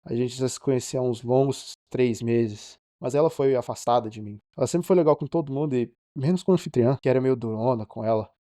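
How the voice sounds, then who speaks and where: A man performs a downcast, defensive ship's cook in an animated short. His voice is mellow and mid-pitched, confiding at a quick pace. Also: no echo, studio quality, natural voice. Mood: wistful, sad. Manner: colloquial, confiding. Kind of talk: animated film acting.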